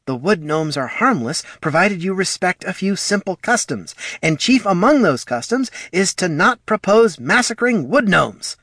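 Male narrator as prim, flustered small fantasy businessman, raised pitch, very animated, wordy. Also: normal speed, no echo, studio quality. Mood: hushed, urgent. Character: fussy, anxious, proper little gnome-like businessman.

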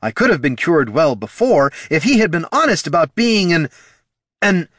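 A man's voice: mid-range voice, moderate pace, slightly rough, no echo, clean studio sound, voice pushed up, close to an everyday voice. A man voicing a hot-tempered, wounded female fire sorceress, impassioned and accusing, in a fantasy audiobook. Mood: outraged. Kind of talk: fantasy audiobook character dialogue.

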